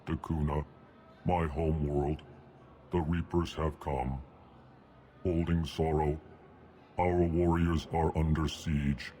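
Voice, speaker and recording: A man voicing a huge, solemn alien diplomat in a video game, deadpan and ponderous, naming each emotion before he speaks. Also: a bass voice. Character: huge solemn elcor alien diplomat, pleading for his people.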